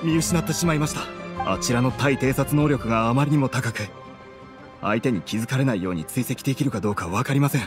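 An earnest, dutiful agent played by a man with a medium-pitched voice. He speaks formally, as if reporting to a superior, in a video game with soft music behind him. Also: a little fast, close to an everyday voice. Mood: apologetic, reporting. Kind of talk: Japanese game voice acting.

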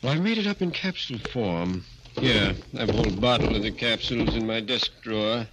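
A man's voice: fairly low pitch, lively intonation, fairly quick. A man playing an earnest, courteous doctor with a formal manner, in 1940s radio drama style. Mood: firm, kindly. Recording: slightly noisy old radio recording.

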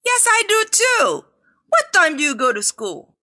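A woman with a high, bright voice reads textbook dialogues for learners. Her delivery is clear and deliberate, and her pitch moves a lot. She sounds like a teacher voicing practice conversations. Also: huge pitch swings. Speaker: textbook audio reader. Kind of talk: scripted dialogue reading for learners.

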